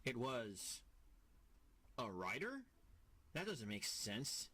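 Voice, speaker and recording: A man's voice: medium pitch, very animated, takes it slowly, heavy background noise. A man playing an earnest, bold armored hero in an audio drama, with emphatic delivery. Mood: puzzled.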